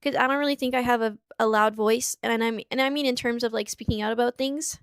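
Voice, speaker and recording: High-voiced young woman, dry close sound. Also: steady tone, smooth, dry recording. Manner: halting, tearful at times. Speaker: streamer in a played clip. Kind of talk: emotional personal account. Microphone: small clip-on mic.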